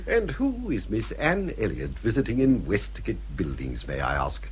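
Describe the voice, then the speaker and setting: A man's voice: on the low side, huge pitch swings, unhurried, a little hiss or hum. An actor playing a haughty, snobbish older English father with pompous, drawling disdain in a period radio drama. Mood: scornful mockery.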